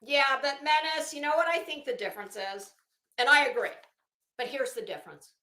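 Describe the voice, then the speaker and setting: A middle-aged woman giving casual live commentary in a halting, deliberate, emphatic way. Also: sits high, bright, forceful tone. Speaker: YouTube commentator and host. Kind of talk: casual live commentary with document read-outs. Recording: echoey room.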